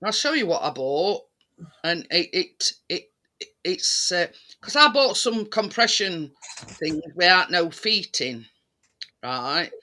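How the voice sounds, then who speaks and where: A man in casual, rambling chat, often halting as he searches for words. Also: high-pitched, sing-song, pushed and bright.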